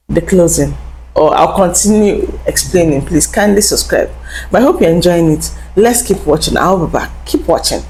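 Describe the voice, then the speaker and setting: A woman's voice: lowish voice, a little mellow, slight background noise, rough recording. A woman hosting a talk show, explaining to viewers in a lecturing way and asking rhetorical questions. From Africa. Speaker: host.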